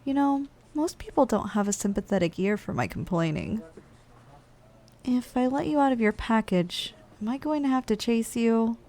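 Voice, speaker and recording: A woman's voice: fairly high pitch, animated, normal speed, a little mellow. A woman playing a weary, snack-craving giantess office worker in a chatty, teasing, conversational ASMR roleplay monologue. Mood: friendly, wistful.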